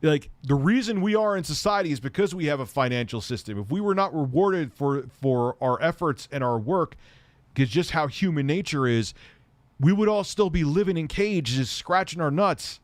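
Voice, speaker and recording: A man's voice: on the high side, expressive, normal speed, no room sound. A man hosting a casual tech livestream, reading viewer comments in a rambling, profane way and laughing often.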